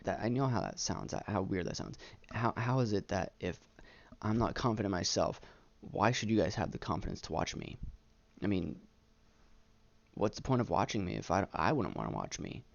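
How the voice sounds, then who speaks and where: A young adult man talking candidly about himself as a vlogger, halting and stuttering on words, earnest and self-deprecating. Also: fairly low pitch, sing-song, creaky, dry recording, highs cut off. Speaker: YouTube vlogger. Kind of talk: candid personal monologue, casual chat. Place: small room indoors.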